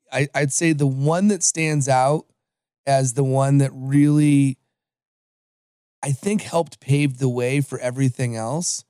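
Man, 40s, from Los Angeles, upbeat interview guest, mellow tone, slightly high voice. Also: dry recording, studio quality. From Los Angeles, USA. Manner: upbeat, storytelling, frequent restarts. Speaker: podcast guest. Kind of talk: conversational interview.

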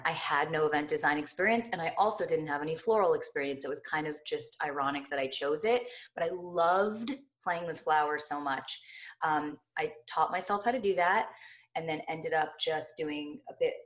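A middle-aged woman from New Jersey with a hoarse voice, chatting expressively as an interview guest with many fillers. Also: deep voice, fairly quick, fairly bright tone. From New Jersey, USA. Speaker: guest, lifestyle blogger. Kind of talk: casual interview conversation.

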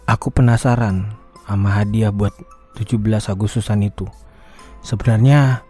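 Man acting as touchy young psychic guy, dramatic, slangy, exclamatory, mid-pitched, audio drama, music underneath. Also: darkish tone, natural voice. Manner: exclamatory, slangy, mixing English and Javanese.

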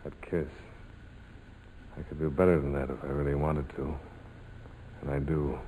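An actor playing a tough, cynical skipper, terse and wry, in a 1940s radio drama. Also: male voice, rumbling low, little pitch movement, normal speed, mellow, slight background noise, low-quality recording. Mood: low, intimate. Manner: terse, hard-boiled, wry. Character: tough, cynical army-major skipper, cool and worldly. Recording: old 1940s radio broadcast, music underneath.

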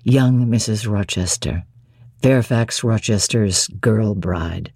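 A woman voicing a middle-aged gentleman lover in a classic novel, tender, teasing and commanding. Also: very deep voice, slow, husky, no room sound, clean studio sound, pitched below the performer's own voice, exaggerated voice. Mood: triumphant, assured. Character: deep-voiced adoring masterful gentleman lover, middle-aged. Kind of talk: dramatic classic novel reading.

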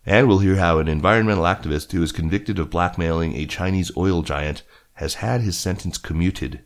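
Male podcast news reader, very deep register, scripted narration. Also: fairly quick.

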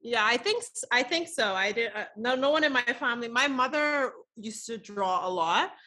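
A woman in her thirties talks as a podcast guest, her voice a little high and ringing bright. She chats easily, laughs a lot and leans on casual fillers. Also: expressive, moderate pace. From the Bahamas. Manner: chatty, laughs often, lots of filler words. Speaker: guest artist.

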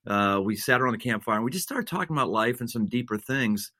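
A man's voice: mid-range voice, lively intonation, fast talker, bright, forceful tone, no echo, studio quality. A man, a senior pastor, talking in an interview in an earnest way, building into an emphatic preaching rhythm with repeated phrases. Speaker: senior pastor, guest author.

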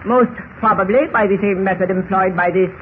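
An actor playing a menacing, imperious Egyptian villain who speaks formally and precisely in a 1940s radio style. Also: male voice, high voice, steady tone, a little fast, close to an everyday voice. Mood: smug. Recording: muffled, slightly noisy old radio recording.

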